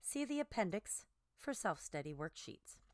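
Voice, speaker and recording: A woman reading a book aloud as scripted narration, with measured, deliberate phrasing. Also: medium pitch, sing-song, no echo.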